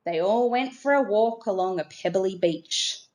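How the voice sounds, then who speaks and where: A woman narrating a children's storybook expressively, in a measured way with dramatic emphasis. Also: mid-range voice, darkish tone. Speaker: storybook reader.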